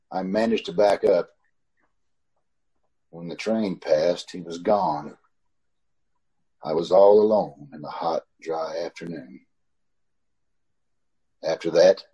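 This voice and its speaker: A man's voice: fairly low pitch, a little mellow, low-quality recording. A man playing a haunted, uneasy motorist in an old-time radio suspense drama, recorded over a video call. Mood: grim, tense. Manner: brooding in narration, hesitant and stammering in dialogue. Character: haunted, uneasy male motorist narrating his ordeal. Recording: video call with clear background noise.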